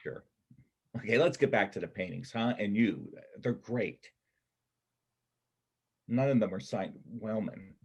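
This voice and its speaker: A man plays a guarded ex-soldier with a husky, lowish voice. He talks casually and wryly, in the natural manner of a staged script reading. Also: measured pace, long pauses. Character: male war-survivor soldier, guarded, wry drifter. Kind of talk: church reader's theater acting.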